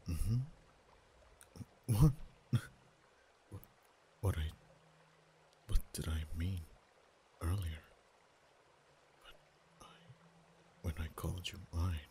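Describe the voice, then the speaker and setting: A man voicing a proud, haughty male dragon in an ASMR romance roleplay, speaking softly with whispered and stammering stretches. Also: sits low, a little hiss or hum, full character voice. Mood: tender. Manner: soft-spoken, partly whispered, halting stammers.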